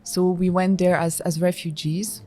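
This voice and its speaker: Thoughtful woman guest, low voice. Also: level tone, mellow, soft background music. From Georgia, raised in France. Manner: thoughtful, measured, frequent fillers. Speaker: guest, executive director of a nonprofit. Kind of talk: podcast interview.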